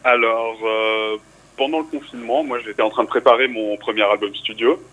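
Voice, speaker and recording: A man's voice: mid-range voice, brisk, rough recording. A young man from France, a rapper guest in a radio interview, talking casually with fillers. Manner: relaxed, casual, speaks with fillers.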